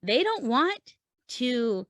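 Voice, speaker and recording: A woman with a fairly high voice, recorded dry and close to free of room sound. She teaches like a podcast coach, conversational yet emphatic, speaking directly to the listener and leaning on rhetorical questions. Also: very animated, extremely slow, no room sound. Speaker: podcast host and writing coach. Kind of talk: conversational instructional podcast talk.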